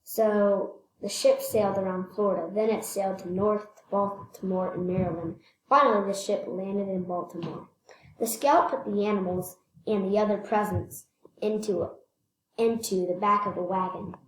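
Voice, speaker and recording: A woman reading a children's picture book aloud, slightly halting, repeating phrases and adding casual asides. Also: medium pitch, steady tone, slight background noise. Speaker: read-aloud storyteller. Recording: echoey room.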